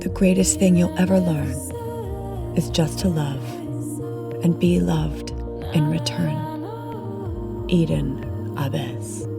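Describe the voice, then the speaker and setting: A woman narrator reading a scripted fairy tale in a measured storybook delivery, with phrase endings falling to a hush. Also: low-pitched, fairly even intonation, creaky. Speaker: audiobook narrator. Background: music.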